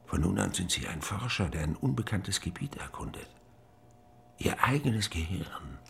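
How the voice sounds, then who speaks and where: An actor playing a composed, visionary brain doctor, speaking in a measured, solemn, persuasive way in a radio drama. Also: male voice, low-pitched, expressive, creaky, a bit bright, slight background noise, exaggerated voice. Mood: solemn persuasion. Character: composed, visionary male brain doctor coaxing his patient. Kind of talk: German radio drama acting.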